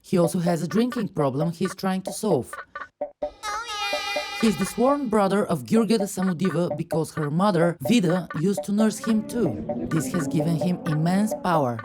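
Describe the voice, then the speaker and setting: Female narrator for scripted character introductions, lower register, prominent music bed.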